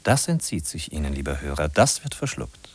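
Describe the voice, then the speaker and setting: A man's voice: rumbling low, very animated, moderate pace, darkish tone, slightly rough, heavily acted. A man acting as the narrator of a 1950s German radio drama, an urgent, musing guide who addresses the listener with emphatic rhetorical questions. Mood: teasing, conspiratorial. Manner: rhetorical, emphatic, questioning then answering himself.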